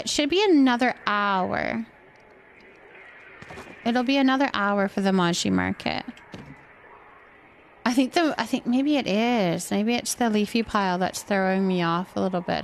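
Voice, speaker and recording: A woman chatting casually on a livestream as host, musing indecisively out loud while she decorates. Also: fairly high pitch, lively intonation, a little slow, gravelly, long pauses, slight background noise.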